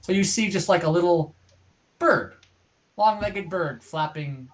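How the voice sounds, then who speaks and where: A game master improvising narration for an online tabletop game in a conversational, halting way. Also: medium pitch, animated. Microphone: online group call.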